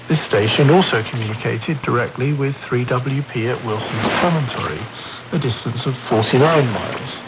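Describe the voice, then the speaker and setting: A man's voice: mid-range voice, lo-fi sound. Man narrating over noisy shortwave radio. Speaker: radio program presenter reading a history feature. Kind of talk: scripted narration. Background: radio static and noise.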